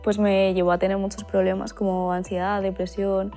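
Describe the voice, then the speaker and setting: A woman's voice: medium pitch, level tone, moderate pace, dark tone, soft background music. A woman adopted from China speaking earnestly and openly in a documentary interview. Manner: earnest, open about hard feelings.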